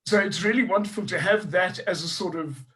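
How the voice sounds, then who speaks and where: A middle-aged South African man with a higher-pitched voice talks through his ideas earnestly as a historian guest. He thinks aloud, restarting his phrases and circling back to his point. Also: a little fast, a bit bright. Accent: South African English. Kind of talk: panel discussion.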